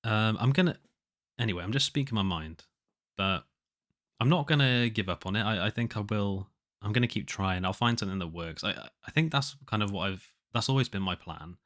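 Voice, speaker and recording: A man with a low but nasal voice, chatting casually on his own as if keeping an audio diary, rambling and hesitant as he thinks aloud. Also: fairly quick, fairly bright tone. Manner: hesitant, rambling, self-questioning. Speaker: podcast host, musician.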